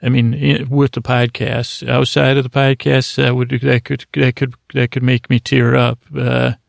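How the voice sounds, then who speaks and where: A man hosting a bedtime podcast, talking in a meandering, halting way full of fillers and self-corrections, kept deliberately slow and mild so listeners can drift off. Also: mid-range voice, little pitch movement, creaky, no room sound. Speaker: podcast host. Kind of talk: rambling bedtime podcast intro.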